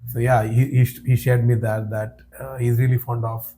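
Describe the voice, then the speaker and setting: A man hosting a business podcast, asking questions in a steady, flat delivery with frequent yeahs and you-knows. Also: mid-range voice, normal speed, slight background noise. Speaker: podcast host. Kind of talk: conversational podcast interview.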